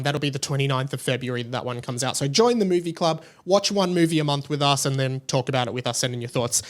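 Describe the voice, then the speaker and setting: Enthusiastic young Australian man, slightly high voice. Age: late 20s. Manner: enthusiastic, gushing. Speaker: podcast host. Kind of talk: casual chatty solo podcast.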